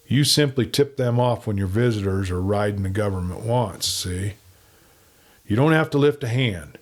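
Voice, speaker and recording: A man voicing a shrewd, hard, steady lawman in a western story, with a blunt, commanding manner. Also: medium pitch, lightly acted.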